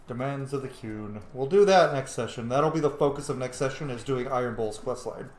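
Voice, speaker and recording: A man with an average-pitched voice talks casually through a video game. He often mutters to himself as he plays, and the room around him adds a clear echo. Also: brisk, darkish tone, a little hiss or hum. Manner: mutters to himself while playing. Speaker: game streamer, let's player. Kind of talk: casual gameplay commentary.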